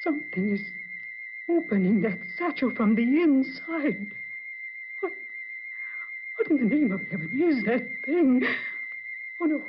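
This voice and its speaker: Scared young nurse in 40s radio horror, slow halting breathy drama, lots of hiss. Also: female voice, sits high, lively intonation. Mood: dread. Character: frightened young nurse haunted by a dead man. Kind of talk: 1940s radio horror acting. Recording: muffled, noisy old radio recording.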